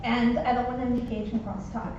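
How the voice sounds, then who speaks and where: A woman's voice: fairly high pitch, steady tone, a little fast, background noise. A woman chairing a town board meeting, firm and procedural. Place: town office meeting room. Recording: echoey hall, distant mic, dull.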